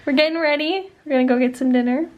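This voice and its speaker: A woman's voice: high voice, quick pace, dull audio. A woman in her early twenties, talking casually and rambling through a home vlog, full of fillers. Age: 20s. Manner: chatty, rambling with many fillers. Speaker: vlogger.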